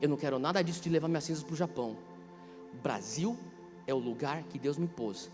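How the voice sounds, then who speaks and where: A Brazilian man in his thirties preaching a sermon, emphatic, repeating key phrases and swinging between hushed and loud delivery. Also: a little high, brisk. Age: 30s. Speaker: pastor preaching. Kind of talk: impassioned sermon. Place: large reverberant church hall. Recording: reverberant hall PA, music underneath. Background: music.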